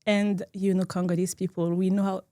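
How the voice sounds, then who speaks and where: A woman's voice: medium pitch, level tone, a little fast, mellow, no room sound. Woman designer in a dry room. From France. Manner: earnest, laughs easily, small grammar slips. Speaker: guest, fashion designer. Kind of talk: casual interview.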